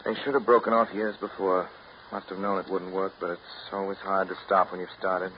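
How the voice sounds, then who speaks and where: A man's voice: low-pitched, flat delivery, a little fast, close to an everyday voice. An actor playing a wry, reflective man who narrates and spars with his relatives, in 1930s radio drama style. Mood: reflective, wistful. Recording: noisy muffled 1939 radio broadcast.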